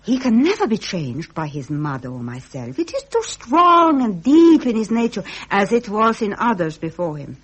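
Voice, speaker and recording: A woman playing a gracious, devoted princess in a radio drama, speaking in an earnest, refined, measured way. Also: medium pitch, huge pitch swings, husky, poor audio. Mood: earnest resolve. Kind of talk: 1970s British radio drama acting.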